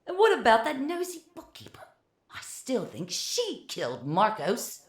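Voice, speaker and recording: A narrator voicing a jealous male pirate with a shrill, screechy voice. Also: huge pitch swings, unhurried, noisy background, full character voice. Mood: anxious, petulant.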